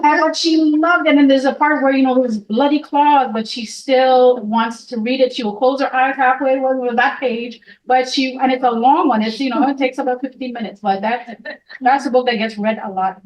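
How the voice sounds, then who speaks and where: Chatty higher-voiced woman, distant. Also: steady tone, normal speed. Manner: chatty and amused. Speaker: educator at a workshop. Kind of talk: introducing herself in a group. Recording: voice picked up from across a room.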